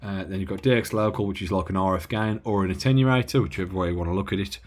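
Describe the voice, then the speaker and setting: A man giving a casual, rambling bench-test walkthrough of an old radio, often pausing and correcting himself. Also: low voice. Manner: rambling, self-correcting, lots of filler. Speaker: radio hobbyist reviewing gear.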